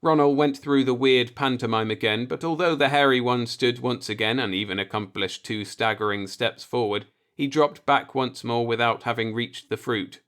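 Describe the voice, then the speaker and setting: A male audiobook narrator with a medium-pitched voice reads scripted fiction. He delivers descriptive science fiction prose as a storyteller. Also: normal speed, few pauses, fairly bright tone, clean tone.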